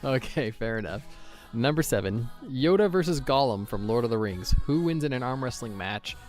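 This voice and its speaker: A man's voice: mid-range voice, expressive, moderate pace, darkish tone, faint music behind. A man hosting a light-hearted quiz interview in a jokey, conversational way. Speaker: podcast host.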